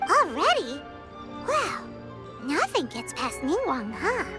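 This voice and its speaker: A woman's voice: high voice, wildly swooping pitch, slow, music in the background, heavily acted. A woman voicing a small, cheeky, childlike female fairy companion in a video game, chirpy and exclamatory. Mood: excited. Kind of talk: animated game voice acting.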